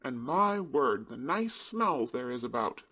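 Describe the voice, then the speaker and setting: A man's voice: medium pitch, very animated, unhurried, husky, exaggerated voice. A man voicing a cheerful Yorkshire country boy who speaks in broad dialect. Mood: eager. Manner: broad dialect, eager and warm.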